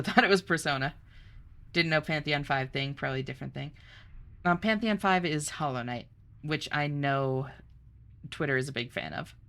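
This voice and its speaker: A young woman streamer chatting casually, reading chat aloud, bubbly and quick to laugh. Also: low-pitched, a bit bright.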